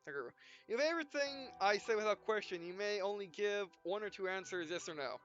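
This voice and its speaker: A man doing a casual, halting read-aloud of a visual novel for a gameplay video, speaking punctuation and speaker tags as he goes. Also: high voice, animated, fairly bright tone. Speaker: let's player reading visual novel text aloud. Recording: dull, lo-fi sound with music behind. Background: game music.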